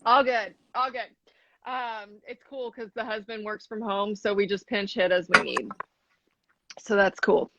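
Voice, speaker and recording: Woman host on a video call, slow and friendly, bright voice, dry muffled sound. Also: medium pitch, gravelly, slight background noise. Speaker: interview host. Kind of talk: casual video-call interview.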